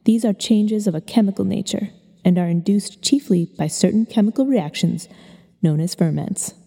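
A woman reading aloud the formal words of a nineteenth-century government chemist, in a measured recitation. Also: mid-range voice, gravelly, dark tone, slightly rough, in a large hall.

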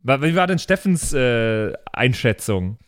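A man's voice: mid-range voice, sing-song, unhurried. Male podcast co-host, mid-range voice, casual, blunt, slangy delivery. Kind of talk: casual chat.

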